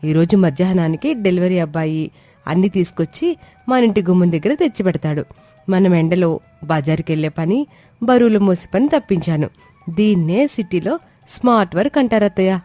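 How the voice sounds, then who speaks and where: A woman performs a young, work-shy but clever daughter-in-law in an animated tale. She reasons and coaxes with cheeky confidence. The voice is close and dry, with music behind it. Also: lowish voice, a little fast, dry recording, close to an everyday voice. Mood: pleading, explaining. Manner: pleading yet self-assured explanations. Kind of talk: acted dialogue in an animated moral story.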